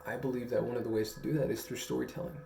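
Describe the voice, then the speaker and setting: Young male vlogger, mellow and fry-laden, halting reflective delivery, recorded in an echoey home room. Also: mid-range voice, level tone, a little hiss or hum. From Guam. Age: young adult. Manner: slow, halting, earnest, with long pauses. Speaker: storytelling vlogger. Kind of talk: reflective personal talk to camera. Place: small room at home, indoors. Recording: roomy echo, faint music.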